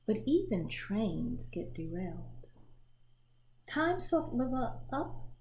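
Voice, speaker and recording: A woman reading a children's picture book aloud in an expressive storytelling manner, pausing to show the pictures. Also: mid-range voice, gravelly, slight background noise. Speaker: storybook reader.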